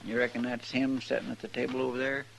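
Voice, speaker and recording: A man's voice: medium pitch, little pitch movement, fast, creaky, heavy background noise, natural voice. A man acting a chatty, homespun frontier deputy sidekick with a rural American drawl in a 1950s radio western. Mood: chatty, curious. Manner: homespun, garrulous, deferential.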